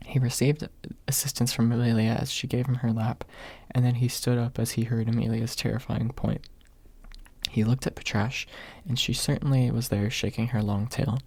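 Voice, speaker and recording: A man narrating an ASMR audiobook in a hushed, husky voice, close to the microphone. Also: medium pitch, flat delivery, normal speed, no room sound. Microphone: close microphone, dry.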